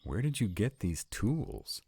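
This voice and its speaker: A man's voice: lowish voice, wildly swooping pitch, takes it slowly, creaky, mellow, husky, no echo, full character voice. A man playing a wry, sarcastic young vampire in a scripted roleplay audio drama. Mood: wry, hesitant.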